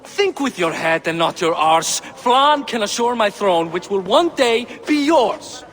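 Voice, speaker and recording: Middle aged norse king dad in a game, proud and fed up, voice swings a lot, kinda high. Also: expressive, measured pace, a bit bright. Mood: angry. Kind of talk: video game cutscene acting.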